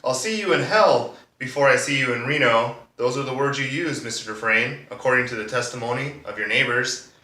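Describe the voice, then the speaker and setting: A man's voice: medium pitch, fairly quick, sharp tone, natural voice. A man playing a sardonic, accusing district attorney who questions a witness pointedly in a courtroom monologue. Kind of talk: monologue performance of a courtroom drama. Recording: roomy echo, distant microphone.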